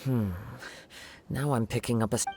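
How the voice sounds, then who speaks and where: An actor playing a calm, courteous young male samurai investigator in a video game, speaking in measured, formal phrases. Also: medium pitch, very noisy, natural voice. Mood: grave, watchful. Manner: measured, polite, formal wording.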